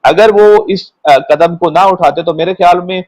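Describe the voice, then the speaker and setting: A man with a Pakistani accent speaking as a guest commentator, explaining politics in a measured, unscripted way and sometimes repeating words. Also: sits high, darkish tone, no echo, low-quality recording. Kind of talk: unscripted political analysis in a panel discussion.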